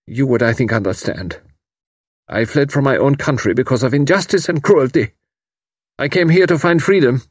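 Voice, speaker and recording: A man's voice: medium pitch, moderate pace, husky, dry recording, studio quality, pitched above the performer's own voice, lightly acted. A man voicing an anguished, bitter young German refugee scientist who pleads with intensity.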